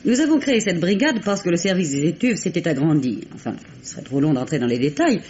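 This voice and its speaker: An actress playing an assured clinic head nurse giving precise, formal, emphatic testimony in a 1950s French radio crime drama. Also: female voice, lowish voice, sing-song, fast talker, darkish tone. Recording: muffled, noisy old radio recording.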